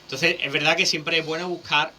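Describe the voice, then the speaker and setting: A man, a public speaking coach explaining things in a lively, teacherly way as a guest on a radio interview. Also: a little high, brisk, slight background noise.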